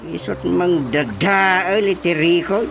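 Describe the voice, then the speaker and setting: A man's voice: sits high, slow pace, gravelly, music in the background, heavily acted. An actor playing a scheming, bossy village chairman in a radio comedy drama.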